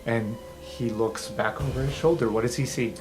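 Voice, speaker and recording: A man's voice: mid-range voice, steady tone, normal speed, music in the background, low-quality recording. A man running a tabletop game, narrating the scene casually with plenty of fillers. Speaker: game master.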